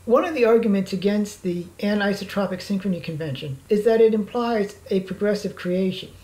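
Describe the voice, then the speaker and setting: A woman narrating a scripted slide lecture in a formal, measured reading manner. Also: mid-range voice, mellow, rough recording.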